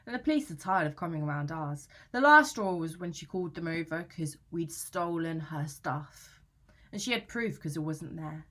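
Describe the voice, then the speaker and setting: A woman's voice: deep voice, expressive, normal speed, fairly bright tone. A woman playing an anxious, aggrieved young British student in a conversational, confessional dramatic monologue, chatty, slangy and self-justifying. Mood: scornful, gossipy. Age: young adult. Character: anxious young female student, aggrieved and defensive about housemate.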